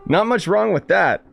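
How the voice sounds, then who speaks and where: A man's voice: sits high, vocal fry. A man streaming a video game, chatting in an informal, offhand way. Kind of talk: casual live stream commentary. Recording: faint game music behind the voice.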